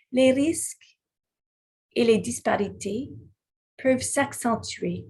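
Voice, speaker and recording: Brief: woman, slow keynote pace, slightly husky, higher-pitched voice. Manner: slow and deliberate, with small restarts. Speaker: conference speaker. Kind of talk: prepared keynote speech.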